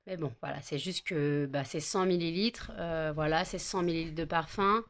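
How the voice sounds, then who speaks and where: A woman's voice: deep voice, normal speed. A woman, chatting casually in a home vlog, tired and a bit stuffy with a cold, rambling with many fillers and self-corrections. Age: 30s. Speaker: vlogger.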